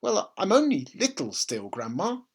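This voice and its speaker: A man's voice: high-pitched, huge pitch swings, normal speed, slightly rough, voice pushed up, exaggerated voice. A man voicing a polite, timid eight-year-old boy in a children's storybook, earnest and pleading. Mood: meek protest.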